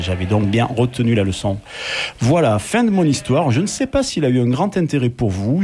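An older man reads his column in a measured, wry way. His voice sits at an ordinary pitch. Faint ambient noise hangs behind him. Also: a little mellow. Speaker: radio columnist telling a personal story. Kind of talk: read-out radio column.

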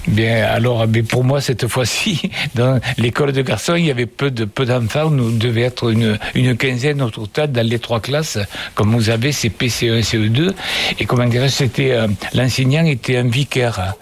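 An older man, answering children's interview questions at an unhurried, storytelling pace. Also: medium pitch.

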